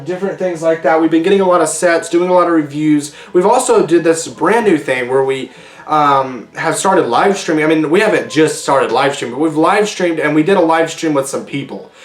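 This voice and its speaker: Hoarse, rambling young podcast host. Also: male voice, fairly high pitch, reverberant. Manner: rambling, loose and chatty with many ums and restarts. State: hoarse, losing his voice. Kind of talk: casual solo podcast chat.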